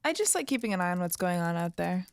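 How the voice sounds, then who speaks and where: A woman's voice: fairly low pitch, sing-song, fast talker, vocal fry, no echo. A woman playing a wistful, uneasy young woman who lives off-grid, speaking tentatively and thoughtfully in an audio drama. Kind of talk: naturalistic audio drama dialogue.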